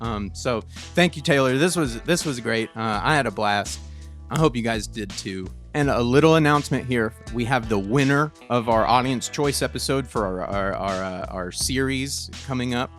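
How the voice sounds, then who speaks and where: A man's voice: mid-range voice, a bit bright. Enthusiastic male podcast host, music behind. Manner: halting, repeats and stresses his words; enthusiastic, easily amazed. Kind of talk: casual podcast chat.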